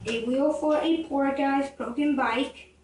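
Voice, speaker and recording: A female storyteller with a very high, childlike-pitched voice narrates a fable in a halting read-aloud way. The recording is roomy and distant, with faint noise behind the voice. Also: fairly even intonation. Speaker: storyteller in a puppet show.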